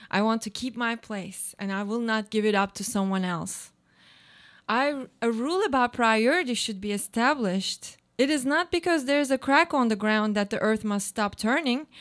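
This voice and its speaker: An aloof, self-absorbed woman, played by an actress in a radio play, with a fairly high voice. She delivers formal, declamatory monologues as someone clinging to her comfortable life. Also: fairly bright tone. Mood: defiant.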